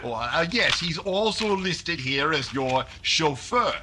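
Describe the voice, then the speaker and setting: A man's voice: on the high side, expressive, slow, pushed and bright, full character voice. Hesitant, formal tax inspector male actor, radio comedy straight man, noisy recording. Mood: probing, polite. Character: polite, probing male tax official, mildly baffled. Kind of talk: classic radio sitcom straight-man acting. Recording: noisy recording with room ambience.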